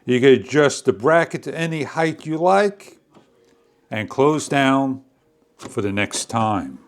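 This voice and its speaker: Man, product demo narration, instructional, deliberate phrasing, lively rising and falling pitch, slow pace. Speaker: product reviewer. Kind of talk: step-by-step product demonstration narration.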